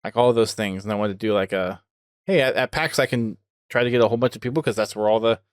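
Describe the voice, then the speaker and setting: A man's voice: lowish voice, quick pace, no room sound, clean studio sound. A man hosting a podcast in casual conversation, thinking aloud as he talks. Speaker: podcast host.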